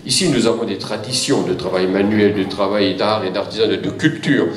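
A man's voice: lowish voice, steady tone, a little fast, far from the mic, a little hiss or hum. Older man speechmaking in an echoey hall. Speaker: local elected councillor giving a speech. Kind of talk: semi-formal off-the-cuff public speech. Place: large indoor foyer at a public event.

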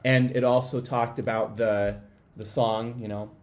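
A man in his thirties explaining things to families in a relaxed, conversational way, recorded at home on a camera at desk distance. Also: medium pitch, measured pace. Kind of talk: casual explanatory chat. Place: small home room.

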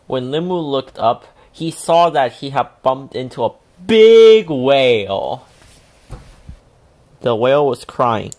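A woman narrates a children's storybook. Her voice is very deep for a woman, and she takes her time. The reading is expressive, with lively rises and falls. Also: slow. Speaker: storybook reader.

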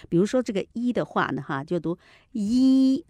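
A woman's voice: mid-range voice, expressive, normal speed, mellow, no room sound. A woman teacher on a radio programme, explaining pronunciation in a didactic way, repeating points and demonstrating sounds. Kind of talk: explanatory language lesson on pronunciation. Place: radio studio, dry close sound.

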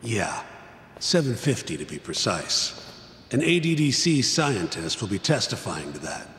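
An actor playing a jaded, exasperated middle-aged lawyer in a video game, blunt and world-weary in manner. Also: male voice, on the low side, big pitch swings, a little slow, a bit bright. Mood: matter-of-fact. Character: jaded, exasperated older male defense lawyer. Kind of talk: video game cutscene acting. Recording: echoey hall reverb, music behind.

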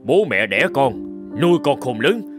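A man's voice: medium pitch, very animated, unhurried. A man voicing a stern, harsh, commanding middle-aged father in a cartoon drama. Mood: angry, commanding. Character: stern, domineering wealthy father, bald and bearded. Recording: music behind the voice.

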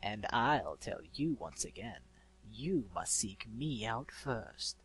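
A man's voice: lowish voice, big pitch swings, a bit bright, slightly rough, exaggerated voice. A man voicing an eloquent, malevolent disembodied male spirit in a storybook, drawing out words in a theatrical coo. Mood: persuasive, sinister. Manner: drawn-out, theatrical, cooing.

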